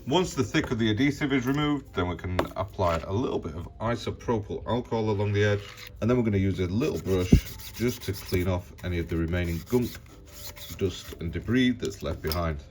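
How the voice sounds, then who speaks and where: A man's voice: lowish voice, a little fast, noisy background. A man hosting a repair tutorial, narrating step by step in a plain, practical, informal way.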